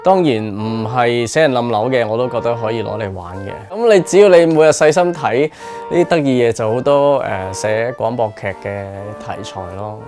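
A man's voice: medium pitch, expressive, normal speed, a little mellow, soft background music. A man in his forties with a Hong Kong Cantonese accent, talking casually and reflectively in an interview in a small studio. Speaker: radio drama writer and performer being interviewed.

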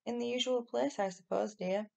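Woman doing a storybook granny, brisk and fond but tells you off, creaky voice. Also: fairly high pitch, steady tone, voice pushed up. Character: brisk, fond, scolding grandmother. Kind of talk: children's storybook reading.

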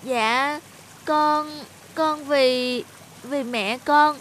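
A woman voicing a small, young talking fish in an animated fairy tale, expressive and earnest. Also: high voice, very slow, a little hiss or hum, full character voice. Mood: pleading, earnest.

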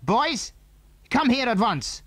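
An angry, suspicious foreign enemy as a male reader plays him in a children's storybook. He barks commands in stilted English, with a raised pitch that swings widely, recorded close and dry. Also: big pitch swings, takes it slowly, a bit bright, dry recording, heavily acted. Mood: angry, commanding. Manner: barking, demanding, stilted English. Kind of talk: children's audiobook character.

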